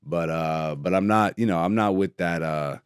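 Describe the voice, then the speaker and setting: A man's voice: low-pitched, normal speed, clean tone, no echo. Laid-back, joking man with a dry close sound. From Greece. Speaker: podcast host. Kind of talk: casual solo podcast chat.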